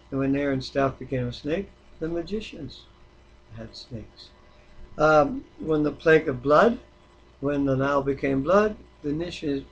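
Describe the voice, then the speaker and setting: A man with a rather high, husky voice reads and talks through scripture in an informal way. His delivery is halting, with the odd stumble over words. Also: low-quality recording. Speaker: Bible reader. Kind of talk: Bible reading aloud with informal discussion.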